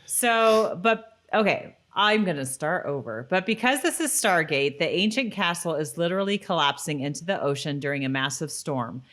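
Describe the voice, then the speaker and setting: A woman with a low-pitched voice co-hosts a rewatch podcast. She talks casually, tends to be giggly, and moves between chatting and reading recaps. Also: animated, normal speed, hardly any pauses, a bit bright. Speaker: podcast co-host.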